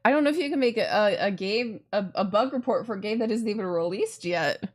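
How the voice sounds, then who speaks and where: A woman's voice: mid-range voice, expressive, a little fast. A woman streaming a game with casual, exclamatory live commentary, often repeating her phrases. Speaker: streamer playing a visual novel. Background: game music.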